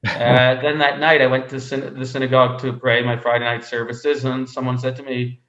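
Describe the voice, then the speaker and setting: A man from Canada speaking as an interview guest, telling personal stories conversationally in a halting way, often repeating words. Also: mid-range voice, little pitch movement, a bit bright. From Canada (Montreal). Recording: roomy echo, slightly dull sound.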